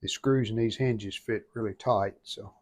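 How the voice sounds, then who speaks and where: A man's voice: fairly low pitch, fairly even intonation, normal speed, darkish tone, a little hiss or hum. A man talking casually through hands-on restoration work, in short, plain-spoken remarks as he goes. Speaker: vlogger restoring an antique radio. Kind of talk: casual hands-on workshop narration.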